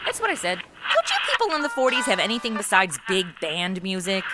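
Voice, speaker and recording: A woman's voice: medium pitch, huge pitch swings, brisk, over loud music, poor audio. A woman playing a brash, lazy, self-indulgent modern party girl with a sarcastic, whiny manner in a comedy audio drama. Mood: bored.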